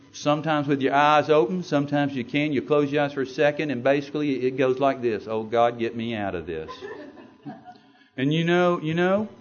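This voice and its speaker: A man with a Southern US accent, a pastor telling a story in a sermon with animated, stammering asides. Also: on the high side. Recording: dull lo-fi sound.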